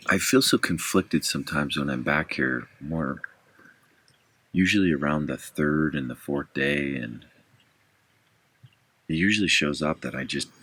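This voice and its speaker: A man's voice: rumbling low, vocal fry, fairly bright tone, slightly rough. A man who guides pack goats, talking earnestly and a little rambling about his goats and his time in the backcountry. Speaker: pack goat guide and channel host. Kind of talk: casual talk to camera on the trail. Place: outdoors in alpine backcountry.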